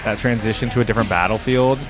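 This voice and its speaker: A man's voice: lowish voice, fast, over music. A man giving casual, enthusiastic reaction commentary as a video creator, chatty and informal.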